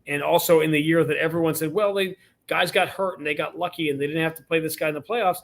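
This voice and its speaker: A man, a radio play-by-play announcer, giving emphatic sports analysis as a guest, with quick restarts. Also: a little high, fairly even intonation, gravelly. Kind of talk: casual sports interview.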